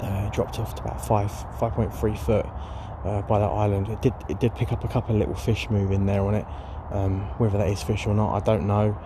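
A man chatting casually by a lake about carp fishing, rambling with plenty of fillers, his voice going hoarse. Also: fairly low pitch, level tone, fairly quick, few pauses. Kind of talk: casual vlog chat to camera. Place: outdoors by a lake. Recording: noisy outdoor recording.

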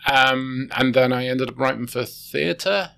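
A man's voice: mid-range voice, a little slow, creaky, bright, forceful tone, clean tone. A middle-aged man with a Liverpool accent, a guest on a podcast, telling rambling anecdotes with lots of hesitations. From Liverpool, England. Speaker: guest writer. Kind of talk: casual podcast chat.

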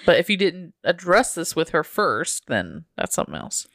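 A woman with a low, gravelly voice that swings widely in pitch chats bluntly and swears freely. She sounds close-miked in a dry room. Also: big pitch swings, fairly bright tone, no echo. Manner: blunt, swears, quick reactions. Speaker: podcast co-host. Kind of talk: casual chat, reacting to stories.

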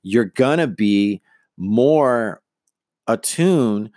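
A man's voice: medium pitch, lively intonation, extremely slow, a little mellow, no echo, studio quality. A middle-aged man hosting a podcast, talking casually and earnestly with lots of fillers and restarts. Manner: rambling, many fillers and restarts; earnest, motivational. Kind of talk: casual monologue on personal branding.

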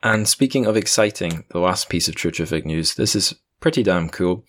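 A man's voice: low-pitched, lively intonation, normal speed, a bit bright. A man hosting a podcast in a casual, chatty way with wry asides. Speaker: podcast host.

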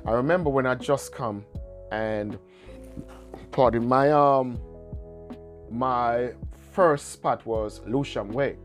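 A man's voice: medium pitch, slow pace, long pauses. Jamaican guy chatting easy, mid voice, faint guitar music in the back. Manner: relaxed storytelling, repeats phrases. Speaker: guest. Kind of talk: casual chat.

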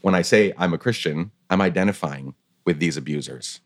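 A man's voice: low-pitched, fairly quick. A man hosting an interview, speaking reflectively and with empathy, repeating words for emphasis. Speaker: podcast host. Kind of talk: conversational interview.

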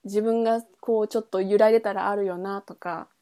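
A woman's voice: medium pitch, steady tone, a little fast, dark tone. A woman podcast host in a casual book chat, thinking aloud in trailing, loosely linked phrases. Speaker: podcast host reviewing a novel.

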